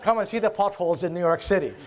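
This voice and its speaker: A man's voice: sits high, rapid-fire. A man from Jordan, a lecturer answering audience questions, talking with many fillers, repeated words and restarts. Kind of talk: academic Q&A answer. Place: lecture hall.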